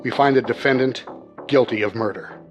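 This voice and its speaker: A man's voice: medium pitch, normal speed, close to an everyday voice. A man playing a solemn, formal jury foreman in a true-crime reenactment, in dull sound over music.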